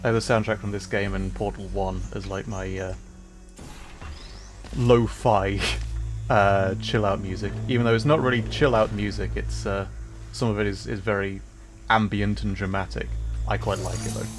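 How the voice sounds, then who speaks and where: A man casually commenting as he plays a game, relaxed and chuckling, explaining the mechanics to viewers. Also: lowish voice, moderate pace, faint music behind. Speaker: streamer.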